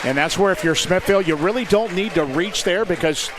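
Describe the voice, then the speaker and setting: An American man doing live play-by-play commentary of high school basketball in clipped, descriptive phrases. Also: fairly quick. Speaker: play-by-play announcer. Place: indoor high school gymnasium. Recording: noisy gym with loud crowd. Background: crowd noise and bouncing basketballs.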